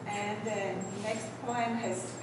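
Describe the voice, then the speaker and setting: A woman with a very soft voice, reading her poems slowly and hesitating between her remarks, recorded without a microphone. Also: medium pitch, steady tone. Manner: hesitant, halting between asides. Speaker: poet reading her work. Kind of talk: poetry reading with asides. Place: event venue, indoors. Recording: echoey room from a distance, loud background noise.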